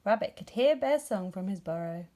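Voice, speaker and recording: A woman narrating a scripted children's story in an expressive storytelling manner. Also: medium pitch, normal speed, slight background noise. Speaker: storybook reader.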